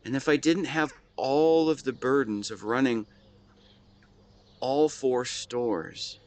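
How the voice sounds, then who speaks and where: A man playing a weary, devoted husband in a scripted dramatic monologue, speaking tenderly and haltingly as if to his wife. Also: medium pitch, lightly acted. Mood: hesitant, pleading. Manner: halting, earnest, intimate delivery. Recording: clear background ambience with nature sounds.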